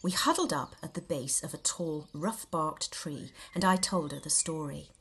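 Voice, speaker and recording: A woman reading a novel extract aloud in an expressive, theatrical and measured storytelling style. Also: sits low, husky. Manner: theatrical, measured storytelling with dramatic emphasis and hushed dips. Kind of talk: expressive scripted audiobook narration.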